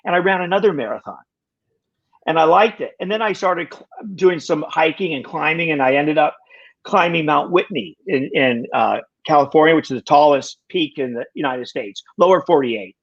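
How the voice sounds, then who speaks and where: Husky 60ish guy on a muffled lo-fi call, kinda high voice, keeps restarting his sentences, jokey about himself. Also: expressive. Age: 60s. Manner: halting, full of restarts, wry self-deprecating humor. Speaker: podcast guest. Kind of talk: casual conversation.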